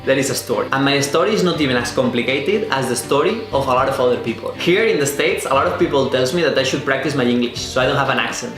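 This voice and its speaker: Young spanish guy w/ an accent, telling his story, echoey room, soft music under it. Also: mid-range voice, lively intonation. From Spain. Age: 20s. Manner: earnest, unhurried storytelling with small restarts. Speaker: vlogger. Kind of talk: personal storytelling.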